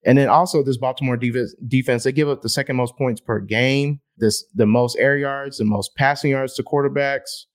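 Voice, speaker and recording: A man's voice: medium pitch, fairly even intonation, moderate pace, few pauses. A man co-hosting a fantasy football podcast in casual chat, reeling off numbers and repeating words as he thinks. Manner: stat-heavy, repeats words while thinking.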